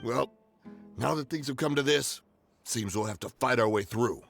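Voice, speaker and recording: An actor playing a cautious, practical male desert mercenary who speaks in a measured way. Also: mid-range voice, creaky, fairly bright tone, soft background music.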